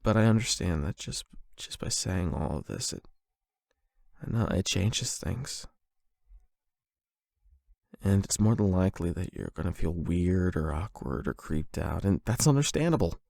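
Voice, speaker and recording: A man performing an intimate confession roleplay as a nervous, lovestruck young man, speaking in a halting, self-doubting, conversational way into a close microphone. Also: deep voice, expressive, vocal fry, a little mellow, dry recording. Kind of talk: emotional confession roleplay, ASMR-style monologue.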